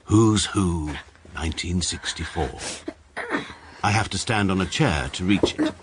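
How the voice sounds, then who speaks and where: A man's voice: low voice, very noisy. An actor with an English accent playing a precise, pompous barrister who narrates his own story in a radio drama. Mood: dry. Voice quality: measured, dry, pedantic. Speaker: narrator, adult lead character.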